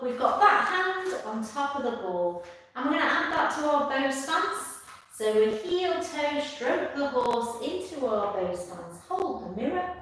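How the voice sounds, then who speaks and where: A woman instructor leads a live tai chi class, giving calm, measured step-by-step cues and repeating her phrases. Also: on the high side. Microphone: far from the speaker. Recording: distant mic in echoey room, faint noise.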